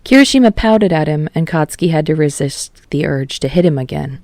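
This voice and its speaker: A woman narrates a story in a low voice. It is an audiobook read, recorded close and dry with no room sound. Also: animated, brisk, darkish tone, no echo. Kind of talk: scripted fiction narration.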